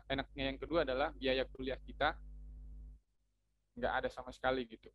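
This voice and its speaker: A man's voice: mid-range voice, muffled recording. A young man from North Sumatra with a Medan-style Indonesian accent, chatting casually as an alumni speaker and explaining things with lots of fillers and restarts. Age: young adult. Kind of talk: casual informational talk.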